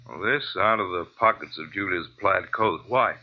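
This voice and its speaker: A police lieutenant with a fairly low voice. He is weary, familiar and matter-of-fact, in 1940s radio drama style. The sound is muffled like old broadcast radio. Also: moderate pace. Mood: casual.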